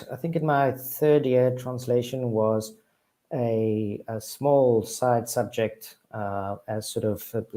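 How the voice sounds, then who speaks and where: A man's voice: mid-range voice, warm tone. A middle-aged South African man whose first language is Afrikaans, talking as a guest on a video call from his office, hesitant with many restarts. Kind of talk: casual interview talk.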